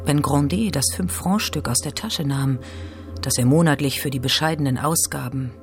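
A man's voice: medium pitch, normal speed. A man reading literary narration from a script for a radio drama.

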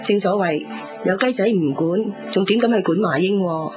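Woman acting a stern, sharp-tongued Cantonese mother, muffled recording. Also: fairly low pitch, moderate pace, natural voice. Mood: stern, guarded. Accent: Hong Kong Cantonese. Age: middle-aged. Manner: pointed, sarcastic, controlled. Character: protective, sharp-tongued middle-aged mother, guarded and stern. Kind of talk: 1990s Hong Kong radio drama acting. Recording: dull 1990s radio recording, music behind.

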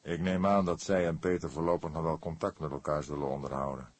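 A man voicing a grumpy, weary older police detective with a gruff, stern manner, in an audiobook. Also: rumbling low, little pitch movement, fairly quick, no echo. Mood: grumbling, weary. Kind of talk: audiobook character dialogue.

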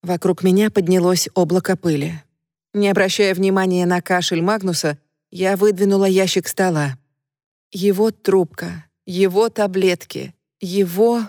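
A woman's voice: lowish voice, steady tone, a little slow, a little mellow, no echo, studio quality. A woman narrating a gothic romance audiobook in the first person, emotional and intimate.

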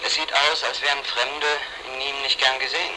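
An actor playing a guarded, politely stubborn stranger who answers tersely and evasively in a naturalistic radio drama. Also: male voice, mid-range voice, little pitch movement, moderate pace, pushed and bright, slight background noise, rough recording. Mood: polite, wary.

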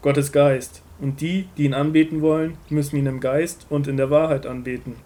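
Man playing authoritative prophet and teacher, fairly high male voice, solemn, measured, emphatic, Bible radio play. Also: little pitch movement, warm tone, lightly acted. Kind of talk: scripted audio drama of a Bible story.

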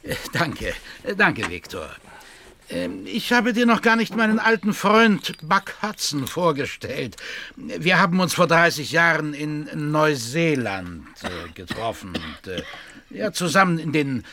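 An actor playing a genteel, intimidated elderly country squire in a radio drama, speaking with hesitant politeness. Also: male voice, fairly high pitch, big pitch swings, unhurried, bright, forceful tone, a little hiss or hum, heavily acted. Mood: flustered, forced cheer. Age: older.

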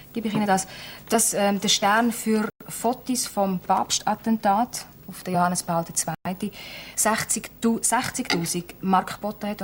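A woman's voice: mid-range voice, slight background noise. A woman hosting a radio show, questioning a caller in a probing, persistent way. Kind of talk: radio interview.

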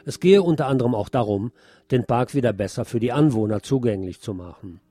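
A man's voice: mid-range voice, expressive, a little fast, dark tone, dry recording. A man presenting scripted radio news as a narrator, with a slightly wry, colloquial delivery.

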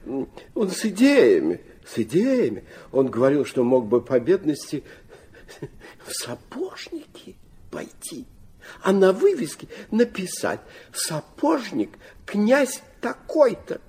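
Radio drama: genial old dithering prince, slow, hesitant, swooping intonation, husky voice. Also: male voice, high-pitched, huge pitch swings, warm tone, full character voice. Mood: musing, dithering. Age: older. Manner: halting, mumbling hesitations, French endearments, swooping exclamations. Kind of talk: Russian radio play dialogue.

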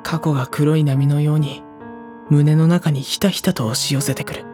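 A woman playing a mild, hesitant high school boy in a Japanese audio drama, soft-spoken and polite, with inner monologue. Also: steady tone, normal speed, faint music behind, natural voice. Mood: somber, introspective. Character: mild, obtuse high school boy narrating his anxieties.

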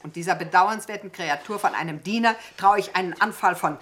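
A woman's voice: on the low side, a little fast, fairly bright tone, lightly acted. An actress playing a stern, haughty lady of the house in a German radio play, formal, imperious and indignant.

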